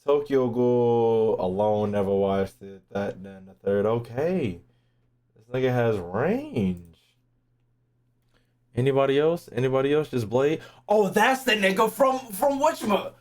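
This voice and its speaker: Brash young man, very animated pitch. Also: unhurried. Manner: brash, slangy, profane, repeats himself. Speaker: streamer reacting to a game. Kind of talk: casual live-stream commentary.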